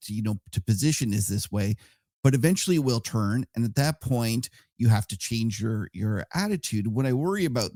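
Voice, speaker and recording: Gravelly dark-voiced podcast host. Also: male voice, fairly low pitch, dry recording, clean studio sound. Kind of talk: casual podcast chat.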